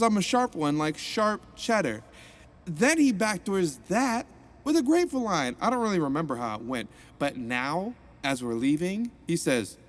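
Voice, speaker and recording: An actor playing a cheerful, jokey male rehab counselor in an audio drama, with a playful, lively delivery. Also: on the high side.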